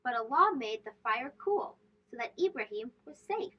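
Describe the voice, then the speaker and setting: A woman with a high voice reads a storybook aloud for children. Her intonation is very animated, with emphatic phrasing and questions aimed at young listeners. The recording is a little dull. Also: moderate pace, husky. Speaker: host of a children's read-aloud show.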